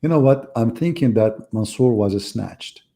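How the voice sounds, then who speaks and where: Male stream host, dark and mellow tone, sarcastic debater with a wandering delivery. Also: medium pitch, animated, a little slow, vocal fry. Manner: mocking, ironic, rambling asides. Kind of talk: sarcastic live-stream commentary.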